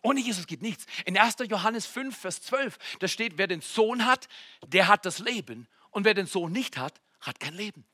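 Male pastor in his 40s, high, very bright voice, dry sound, swings between loud exclamations and near-whispers. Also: lively intonation, moderate pace, no echo. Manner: addresses the congregation directly with jokes and questions. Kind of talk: sermon. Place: church.

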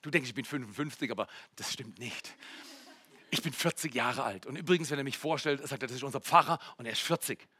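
A man in his forties preaching a sermon in church, jumping from loud exclamations to hushed near-whispers and speaking straight to the congregation. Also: medium pitch, fast, slightly rough. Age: 40s. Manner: addresses the congregation directly with jokes and questions. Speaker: pastor.

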